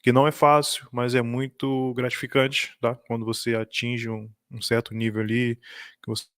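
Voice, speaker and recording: A Brazilian man with a Brazilian Portuguese accent hosting a casual live stream, chatty and laughing often, a little halting when he speaks English. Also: medium pitch, flat delivery, fairly bright tone, dry recording. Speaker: host practicing English with strangers.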